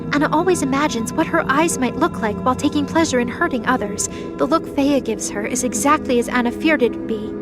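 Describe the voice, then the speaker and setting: High-voiced woman narrating a dramatic story. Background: music.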